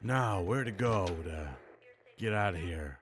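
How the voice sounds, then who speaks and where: A man's voice: low-pitched, lively intonation, takes it slowly, a little hiss or hum. A man practicing noir-style narration and musing to himself in a dry manner while playing a game. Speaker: video creator practicing a character voice.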